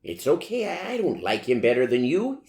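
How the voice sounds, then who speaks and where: A man's voice: mid-range voice, wildly swooping pitch, moderate pace, a little mellow, echoey room, voice pushed up. A man voicing a moody, foul-mouthed teenage goth girl in a theatrical, exclamatory manner for a story reading. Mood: soothing.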